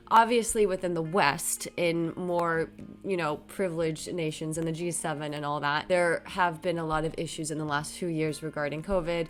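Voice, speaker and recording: Chatty Canadian woman with a stuffy cold. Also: fairly low pitch. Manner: chatty, rambling, with fillers and little laughs. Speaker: vlogger. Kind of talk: casual talking-to-camera vlog.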